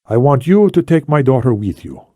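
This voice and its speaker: A man voicing an older, shrewd and commanding Spanish ex-intelligence officer in formal, deliberate audiobook dialogue. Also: medium pitch, very animated, dark tone, dry recording, clean studio sound, voice pushed up. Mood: insistent, intense.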